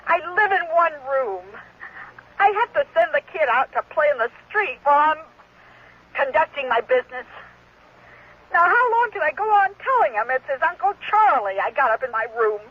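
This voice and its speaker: An actress playing a desperate, hard-drinking, short-tempered prostitute and mother in a 1970s radio drama, speaking in halting, agitated repetitions. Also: high-pitched. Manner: halting, agitated, repeating herself. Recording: muffled vintage radio recording.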